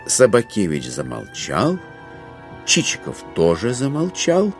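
A man narrating a literary audio drama in an expressive, theatrical storytelling style. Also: medium pitch, measured pace, over music.

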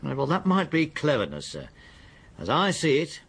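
An actor with an English accent playing a methodical, deferential police detective in a radio drama, his voice husky. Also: a little high, huge pitch swings, a bit bright, exaggerated voice. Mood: confident, deferential. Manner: deliberate, factual, deferential. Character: methodical, deferential male police detective, confident and matter-of-fact. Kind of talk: British radio drama acting.

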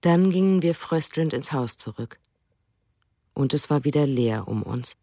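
A woman's voice: low voice, expressive, measured pace, warm tone, no room sound, clean studio sound, exaggerated voice. A woman reading as a tender, wistful mother. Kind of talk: reading a diary for a radio drama.

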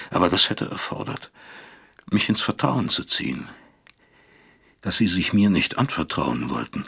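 An actor playing a guilt-ridden middle-aged father in an introspective radio drama, gravelly and husky, brooding and questioning himself in halting phrases. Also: a bass voice, a bit bright, full character voice.

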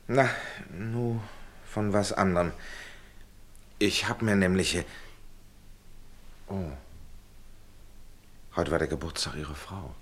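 An actor in a German radio play voicing a well-meaning, paternal middle-aged gentleman, husky and emphatic, with educated, persuasive phrasing. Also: low voice, slow pace, long pauses, exaggerated voice. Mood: hesitant, tactful. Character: well-meaning gentleman friend, paternal, urging, somewhat worn.

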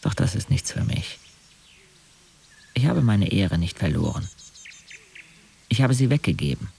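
An actor narrating a radio drama in the first person as a wry young man, measured, detached and literary. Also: fairly low pitch, big pitch swings, dark tone, heavily acted. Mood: reflective. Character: wry young male narrator recounting his friends' lives. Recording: clear music under the voice. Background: music.

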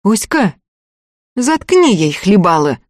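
A woman's voice: medium pitch, very animated, takes it slowly, no echo, studio quality. A woman voicing a harsh, anxious peasant mother scolding her accusers and her silent daughter.

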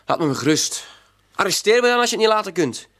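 An actor playing a stubborn, guarded blackmailer in a radio drama, curt and defiant. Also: male voice, high voice, big pitch swings, fast, a bit bright, no echo, clean studio sound. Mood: defiant, irritated. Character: cagey, defiant male blackmailer, stubborn small-time crook. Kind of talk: radio drama acting in dialogue.

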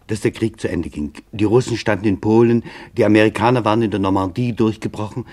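A man narrating a documentary radio drama in a sober, deliberate, measured manner. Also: medium pitch, a little mellow.

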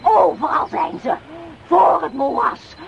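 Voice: high-pitched, slow pace, vocal fry, a crowd in the background, exaggerated voice. An actor playing a wary, loyal talking wolf in a radio play, urgently cautioning the masters. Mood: alarmed. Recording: noisy, muffled old radio play recording.

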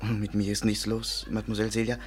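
An actor playing a lovesick, self-pitying French waiter with theatrical, mournful delivery in a 1950s German radio play. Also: male voice, fairly low pitch, monotone, fast, husky. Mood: mournful, despairing. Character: lovesick, melodramatic French waiter and hobby painter, a man.

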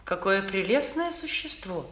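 An admiring mother in a vintage radio drama of the 1960s. Her pitch is moderate but swings widely. She speaks with rapturous, theatrical feeling. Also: very animated, measured pace, fairly bright tone. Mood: intrigued.

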